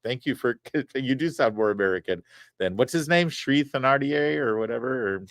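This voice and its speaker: A man's voice: mid-range voice, normal speed, a bit bright, dry recording, clean studio sound. A man hosting a live talk stream, reading viewer messages aloud with chatty, wry asides. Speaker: talk show host reading viewer super chats. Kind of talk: casual live-stream chat. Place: studio set.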